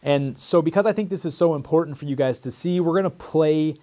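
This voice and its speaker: A man's voice: fairly high pitch, fairly quick, dark tone. A man in his thirties, a hunting mentor, telling in an earnest, plain way how a hunt went. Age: 30s. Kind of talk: casual recounting interview.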